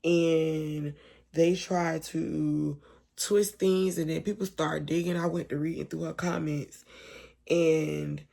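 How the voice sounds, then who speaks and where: Hoarse woman talking low and gravelly. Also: flat delivery, slow pace. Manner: soft and quiet, partly whispered, late at night. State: hoarse, voice almost gone. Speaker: mom vlogger. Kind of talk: casual talk to camera. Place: home at night, lying down with her baby.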